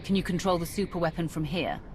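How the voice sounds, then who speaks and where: Actress as ruthless woman warrior, low voice, sardonic, menacing, smug, game cutscene, slight background noise. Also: quick pace, close to an everyday voice. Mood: commanding. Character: ruthless, sardonic battle-hardened female warrior commander. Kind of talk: video game cutscene acting.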